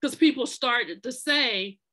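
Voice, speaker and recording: A woman's voice: a little high, takes it slowly, pushed and bright. A woman telling the story of an organization in an earnest, conversational way, partly reading aloud, with fillers and restarts. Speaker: podcast presenter telling an organization's history.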